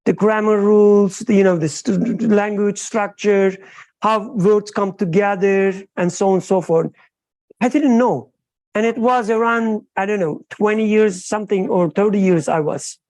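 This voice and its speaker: Slow, mellow woman. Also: medium pitch. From Turkey. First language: Turkish. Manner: halting, searching for words. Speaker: study circle participant. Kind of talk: group discussion.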